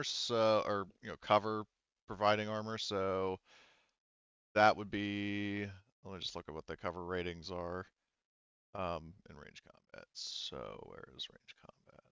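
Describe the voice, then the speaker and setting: A man's voice: on the low side, steady tone, unhurried, a bit bright, smooth, no room sound, muffled recording. A man acting as game master, talking through rules and narrating a tabletop game casually, often thinking aloud.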